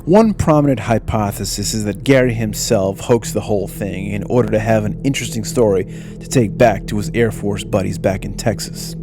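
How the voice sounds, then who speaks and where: A man's voice: fairly low pitch, moderate pace, music in the background. A man reading scripted narration for a mystery documentary.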